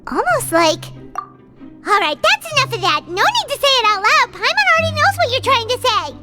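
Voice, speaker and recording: A woman voicing a tiny, excitable, childlike fairy companion in a video game, skittish, chatty and dramatic. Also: moderate pace, loud music behind the voice. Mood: wary. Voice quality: squeaky, childlike. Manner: refers to self in third person, dramatic. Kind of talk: video game character acting.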